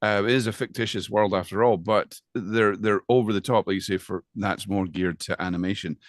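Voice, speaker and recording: A man's voice: on the low side, steady tone, brisk, dry recording, studio quality. A man in a casual interview, speaking as an agreeable, affirming co-host.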